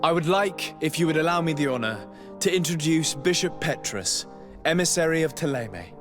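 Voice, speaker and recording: An actor playing a composed young noble diplomat in a fantasy game, speaking courteously and formally. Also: male voice, fairly high pitch, expressive, normal speed, over music, close to an everyday voice. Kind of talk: fantasy video game acting.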